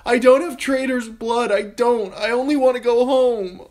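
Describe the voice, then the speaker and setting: A man's voice: moderate pace, darkish tone. A man voicing a sobbing, pleading young girl. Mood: desperate pleading. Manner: pleading, sobbing, high and strained. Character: terrified weeping teenage girl captive.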